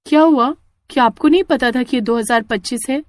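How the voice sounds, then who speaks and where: A woman's voice: a little high, a little fast, no room sound, close to an everyday voice. A woman voicing a bewildered young woman, a puzzled and questioning sweetheart in a cartoon story.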